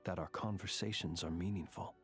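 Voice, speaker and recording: A man playing a calm, thoughtful gentleman in a fantasy video game, speaking formally and courteously. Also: low voice, brisk, natural voice. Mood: reflective. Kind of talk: scripted video game dialogue. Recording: faint music behind the voice.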